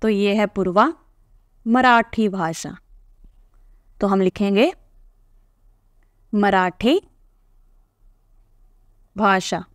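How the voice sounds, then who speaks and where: A woman's voice: mid-range voice, very slow, pauses often, dry recording, studio quality. Woman teacher explaining a textbook lesson. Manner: explains patiently, reads aloud then repeats answers.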